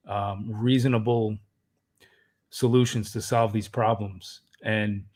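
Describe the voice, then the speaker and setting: A man in his thirties, father of three, co-hosting a fatherhood podcast in an earnest, casual way, often clearing his throat. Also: medium pitch. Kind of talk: casual parenting advice chat.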